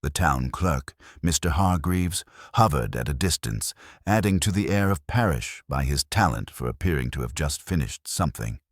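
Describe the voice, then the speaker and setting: Very deep man narrating a mystery. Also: animated, slightly rough, dry recording. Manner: measured, dry, literary delivery. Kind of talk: scripted audiobook narration of a mystery story.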